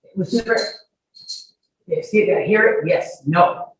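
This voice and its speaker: Female witness, argumentative and halting, distant in a very echoey room with slight noise. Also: lowish voice. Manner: defensive, argumentative, interrupting, halting. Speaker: witness testifying. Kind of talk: courtroom testimony. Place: courtroom hearing. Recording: echoey courtroom, distant, noisy microphone.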